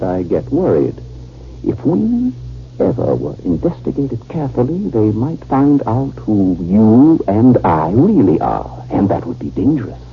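An actor playing a secretive, calculating doctor with deliberate dramatic emphasis in a 1950s radio drama. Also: male voice, lowish voice. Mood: ominous, guarded. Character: secretive, calculating male doctor with sinister hidden identity. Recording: dull, noisy old 1950s radio recording.

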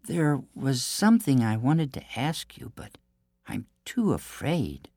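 A man's voice: a little high, sing-song, normal speed, a little mellow, no room sound, studio quality, voice pushed up. A man voicing a young fairy-tale princess who is anxious, earnest and clever. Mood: coaxing.

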